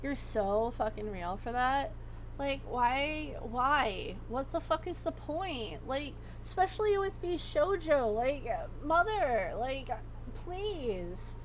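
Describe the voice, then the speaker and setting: A woman livestreamer chatting casually, her voice going hoarse as she rambles and swears freely. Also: high voice, expressive, slow pace, slight background noise. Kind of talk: casual chat.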